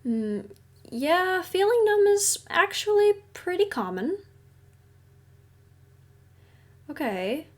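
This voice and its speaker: A woman playing a caring, reassuring counselor at a secret base in an audio roleplay, speaking gently and explaining things soothingly. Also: very high-pitched, very animated, takes it slowly, exaggerated voice. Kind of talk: audio roleplay addressed to the listener.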